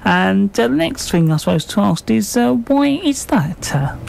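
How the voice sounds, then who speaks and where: A woman asking radio interview questions in a halting, hesitant way. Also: mid-range voice, expressive, creaky, darkish tone. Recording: faint background noise and ambience.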